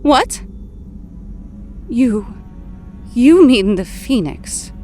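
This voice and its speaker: A woman playing a bewildered young mutant woman just woken from a coma, speaking haltingly in an audio drama. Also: a little high, big pitch swings, dark tone, soft background music, exaggerated voice. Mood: shaken. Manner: halting, dazed delivery.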